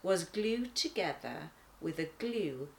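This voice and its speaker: A woman reading a children's devotional aloud in a deliberate, expressive manner. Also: on the low side, creaky, slight background noise, poor audio. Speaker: children's church story reader.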